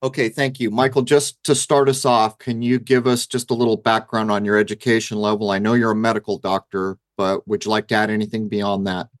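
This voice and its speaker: A man hosting a talk radio show, introducing guests and asking brisk, direct questions. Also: fairly low pitch, flat delivery, no echo, clean studio sound.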